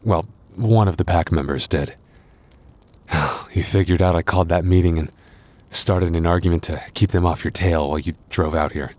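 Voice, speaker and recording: A man playing an exhausted, wounded werewolf boyfriend in an ASMR roleplay, tender and reassuring, with halting, sighing, intimate delivery. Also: deep voice, darkish tone, close to an everyday voice. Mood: weary, recounting.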